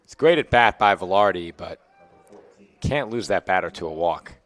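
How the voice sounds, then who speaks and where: A young man with a hoarse, raspy voice, calling baseball play-by-play pitch by pitch. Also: moderate pace, dry recording. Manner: steady, calls each pitch and count. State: hoarse, losing his voice. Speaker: play-by-play announcer.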